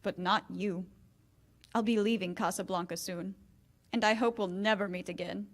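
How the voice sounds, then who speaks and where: A woman's voice: mid-range voice, steady tone, moderate pace, close to an everyday voice. An actress playing a proud, wounded married woman, restrained and earnest, in 1940s-style radio theater. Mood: wistful, resolute.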